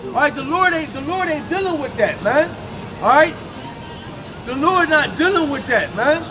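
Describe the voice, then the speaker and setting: A man street preaching in a forceful, confrontational way, ending phrases with "man", outdoors on a city street. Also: very high-pitched, lively intonation, moderate pace, music in the background. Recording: street crowd noise.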